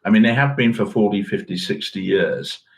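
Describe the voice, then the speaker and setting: A man's voice: lowish voice, animated, normal speed, creaky. A middle-aged man co-hosting a current affairs podcast in a musing, understated, conversational way. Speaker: podcast co-host. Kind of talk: casual current affairs discussion.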